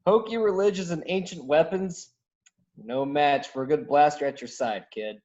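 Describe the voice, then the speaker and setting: A man's voice: on the high side, normal speed, a little mellow, lo-fi sound, lightly acted. A man playing a cocky, wry space smuggler pilot, brash and sardonic, in a scripted reading. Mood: scornful. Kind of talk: scripted table read acting.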